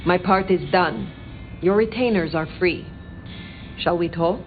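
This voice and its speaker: A woman's voice: on the low side, measured pace, narrow, tinny audio, natural voice. A woman playing a proud, worldly ancient vampire in video game dialogue, composed, formal and aloof. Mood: cool authority. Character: proud, worldly, imperious ancient female vampire, cool and commanding. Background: clear background music.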